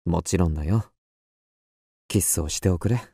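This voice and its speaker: A man playing an upper-class husband in a radio drama, suave and indulgent, teasing with gentle condescension. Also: sits low, moderate pace, slightly rough, no echo, studio quality. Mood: affectionate, teasing.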